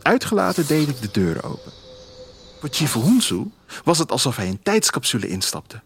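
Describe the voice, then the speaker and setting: A man narrating a scripted first-person story in a measured, literary way. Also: fairly high pitch, very animated, slight background noise. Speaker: author reading own story as narrator. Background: music.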